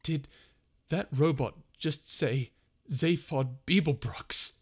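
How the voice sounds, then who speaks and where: A man's voice: mid-range voice, animated, measured pace. A man voicing an eager male traveller who asks urgent, insistent questions.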